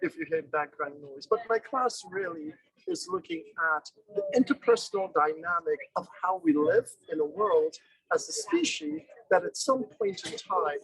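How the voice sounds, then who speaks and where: A man, a summer program teacher, presenting his class outdoors over a video call in an earnest way, posing rhetorical questions with thoughtful pauses. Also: sits high, very animated, gravelly, fairly bright tone, slightly rough, no room sound, poor audio. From born in Guyana, raised in England.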